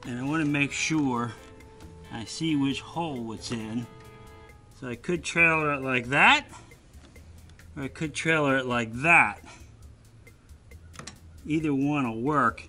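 A man giving a casual step-by-step how-to while working on a boat trailer on his driveway, often turning away from a low camera. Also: slow pace, long pauses, bright, forceful tone, soft background music. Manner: plain, practical, step-by-step explaining while working. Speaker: DIY vlogger. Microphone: camera mounted low on the ground, voice often off-mic.

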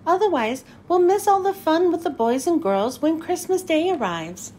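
A woman's voice: extremely high pitch, big pitch swings, moderate pace, warm tone, slight background noise. A woman voicing a yearning misfit girl doll in a storybook, with a pleading manner.

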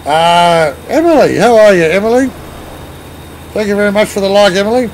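A man's voice: sits high, expressive, fairly quick, slight background noise, poor audio. A middle-aged Australian man with an Australian accent, streaming a truck game on a headset, bantering and thanking viewers in a casual, swearing way. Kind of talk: casual gaming chat. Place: home gaming room, indoors.

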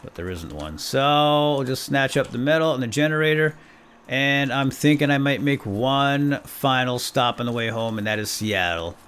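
A man's voice: medium pitch, normal speed, a bit bright, clean tone. A man doing casual, playful let's play commentary, talking to himself and his viewers with exclamations. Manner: playful, self-chatting, exclaiming. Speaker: host of a gaming series.

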